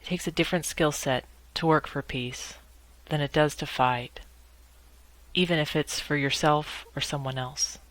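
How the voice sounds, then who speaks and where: A woman guiding a meditation in a measured, instructional way, speaking in short phrases with pauses between them. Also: low voice, no room sound, low-quality recording. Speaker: guided meditation leader. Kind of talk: guided meditation narration.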